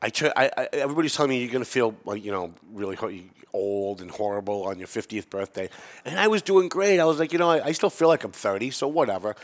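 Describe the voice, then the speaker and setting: A man's voice: medium pitch, very animated, fast talker. A man in his fifties hosting a studio podcast, chatting casually and rambling with a self-mocking streak. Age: 50s. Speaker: podcast host. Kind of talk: casual interview chat. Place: TV-style studio set.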